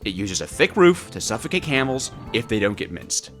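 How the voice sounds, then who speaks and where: A man's voice: on the low side, big pitch swings, moderate pace, fairly bright tone, soft background music. A man narrating a scripted gameplay story with dramatic, comically exasperated delivery. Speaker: gaming video creator.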